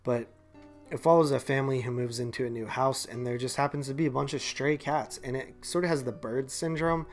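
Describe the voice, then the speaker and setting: A man's voice: mid-range voice, steady tone, brisk, faint music behind. A man co-hosting a movie podcast in casual, slangy chat, often dropping in "like" and "man". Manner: slangy, informal, heavy use of "like" and "man".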